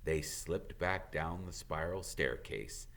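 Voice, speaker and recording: A man reading a children's novel aloud as a teacher would, in expressive storybook narration. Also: a bass voice, takes it slowly.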